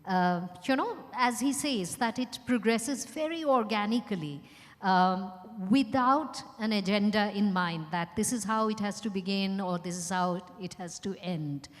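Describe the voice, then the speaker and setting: A woman's voice: medium pitch, unhurried. A woman moderating a live discussion, speaking without a script as an interviewer. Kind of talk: unscripted live on-stage discussion. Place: event hall at a hotel. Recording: echoey hall with long reverb.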